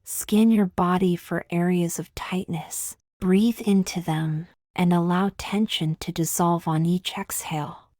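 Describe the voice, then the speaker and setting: A woman leading a scripted guided meditation in a soothing, gentle, measured way, with long pauses and soft, breathy phrase endings. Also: lowish voice, fairly even intonation, no room sound, clean studio sound.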